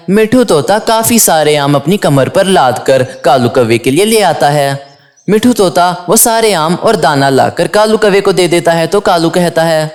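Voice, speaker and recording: A man giving scripted third-person narration for a children's cartoon story. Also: a little high.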